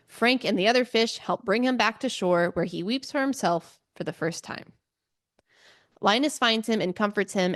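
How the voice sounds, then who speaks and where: A woman podcast host with a mid-range voice talks through a story in a casual book club style. She is chatty and informal, dropping asides as she goes, with a giggly streak in her delivery. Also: mid-range voice.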